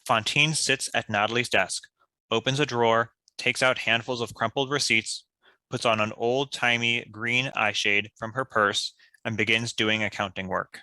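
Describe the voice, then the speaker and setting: A man's voice: mid-range voice, steady tone, normal speed, bright, forceful tone, no echo, rough recording. A man reading stage directions as scripted narration.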